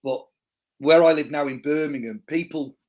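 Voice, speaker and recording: Male host from North Wales, higher register, reflective storyteller on a live chat show. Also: normal speed, darkish tone. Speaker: podcast host.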